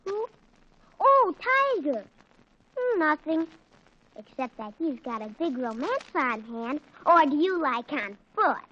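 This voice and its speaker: A woman's voice: sits high, very animated, slow pace, a bit bright, full character voice. A woman playing a pesky, gossipy little boy in 1940s radio comedy, baiting coyly and repeating himself. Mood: sly teasing. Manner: repetitive, coy baiting. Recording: muffled 1940s radio recording.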